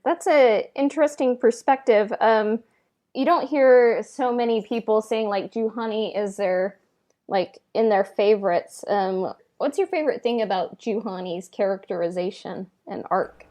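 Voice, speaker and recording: A woman's voice: mid-range voice, a little slow, darkish tone. A woman hosting a podcast in casual chat, speaking a little hesitantly with lots of filler words. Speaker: podcast host.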